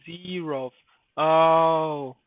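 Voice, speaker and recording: A man's voice: a little high, fairly even intonation, drawn-out words, no room sound. A man giving casual live commentary while streaming a game, thinking aloud with hesitant fillers. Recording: dry, phone-like narrow sound.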